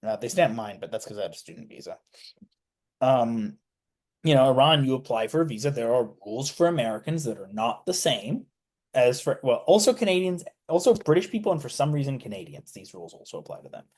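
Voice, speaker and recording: A man's voice: medium pitch, sing-song, brisk, a little mellow. A young man, a student guest on a radio interview, talking casually with lots of fillers and restarts. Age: young adult. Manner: rambling, many fillers and restarts. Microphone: studio mic.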